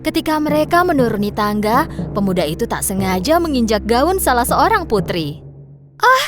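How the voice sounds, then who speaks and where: A woman narrating a children's fairy tale in a lilting, expressive storybook manner. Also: a little high. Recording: clear music bed underneath.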